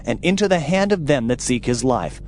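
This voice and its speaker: A man's voice: mid-range voice, expressive, normal speed, darkish tone, slight background noise, close to an everyday voice. A man playing a stern prophet in a dramatized audio Bible, solemnly declaiming God's judgment in archaic phrases. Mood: stern, condemning.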